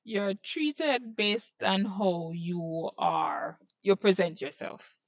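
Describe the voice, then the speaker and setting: A Jamaican woman answering interview questions slowly and hesitantly, thinking aloud as she goes. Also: medium pitch, no echo, muffled recording.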